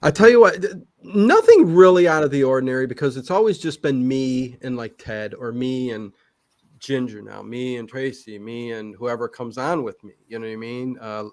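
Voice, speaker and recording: A man's voice: mid-range voice, moderate pace, darkish tone, no echo. A middle-aged man chatting casually on a livestream as a show host, talking in a rambling, self-interrupting way.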